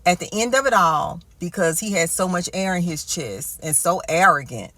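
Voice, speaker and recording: An American woman giving a casual, animated recap in slangy talk, acting out quotes colloquially as she goes. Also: lowish voice, moderate pace, dry recording.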